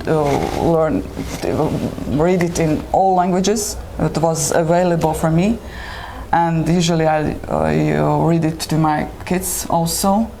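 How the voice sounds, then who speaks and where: A woman from Slovakia whose first language is Hungarian, a guest poet answering interview questions with many pauses and restarts. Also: low voice, gravelly, mellow. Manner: hesitant, many fillers and restarts. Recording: noisy room ambience.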